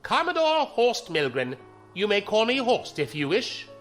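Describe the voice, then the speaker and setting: A man's voice: sits high, huge pitch swings, unhurried, fairly bright tone, faint music behind, full character voice. A man playing a courteous imperial navy commodore in a science fiction audio drama, genteel, diplomatic and formal. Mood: politely commanding.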